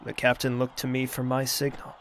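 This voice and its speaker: A man acts out a cold, vengeful commander narrating an audio drama. His mid-pitched voice creaks with vocal fry, and he takes his time solemnly, over faint background noise. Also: monotone, fairly bright tone, lightly acted. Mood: cold, resolute. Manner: measured, solemn. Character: cold, vengeful lord commanding mercenaries, grieving his sister.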